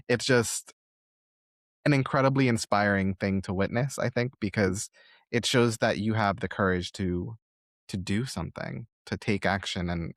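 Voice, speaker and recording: A man with a fairly low voice hosts a conversational interview. He is encouraging and generous with praise. The sound is dry, with very little room on it. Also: dry recording. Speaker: podcast host interviewing a guest.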